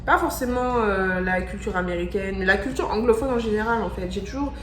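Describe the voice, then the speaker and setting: A young woman from France reacting in a casual vlog, excitable and slangy, repeating her exclamations. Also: mid-range voice, fairly quick. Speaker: vlogger. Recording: echoey room with faint music.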